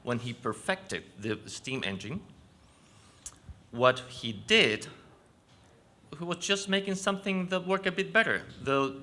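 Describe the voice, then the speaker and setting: Conference speaker, male, Chilean Spanish accent, bright forceful voice, explanatory and halting delivery. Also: mid-range voice. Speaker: panel speaker. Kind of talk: conference presentation. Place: conference venue stage.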